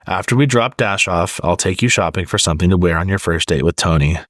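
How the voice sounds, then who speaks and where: A man's voice: low voice, quick pace, a bit bright, no room sound, clean studio sound. A man voicing an upbeat, caring superhero mother in a storybook, speaking in a coaxing, motherly manner.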